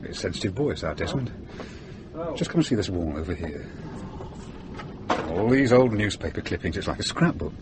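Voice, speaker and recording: An actor playing a big, gruff, teasing van driver with a wry, matey manner in a radio drama. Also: male voice, low voice, animated, normal speed, long pauses. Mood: matey, morbid. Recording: noisy radio drama recording.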